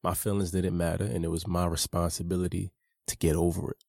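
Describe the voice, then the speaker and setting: A man narrating his own memoir audiobook in a measured, earnest, personal way. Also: deep voice, little pitch movement, gravelly, dark tone, no room sound, clean studio sound. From United States.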